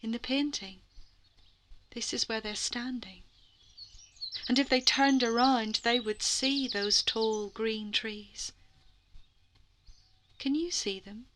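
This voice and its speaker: A woman storyteller narrating a children's bedtime story in an expressive way, with lingering dramatic phrasing and soft passages. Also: a little high, noisy background.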